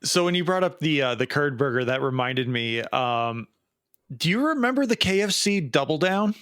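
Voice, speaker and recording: A man's voice: a little high, very animated, moderate pace, fairly bright tone, smooth, no echo, studio quality. A man in his late thirties with an American Midwestern accent, co-hosting a podcast in casual chat with sardonic jokes. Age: late 30s. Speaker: podcast co-host.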